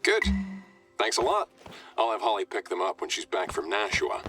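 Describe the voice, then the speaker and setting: An actor playing a friendly, playful warden in a video game, explaining things in a chatty, informal way. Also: male voice, sits low, sing-song, normal speed, bright, forceful tone, far from the mic, soft background music, full character voice. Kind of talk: scripted video game dialogue.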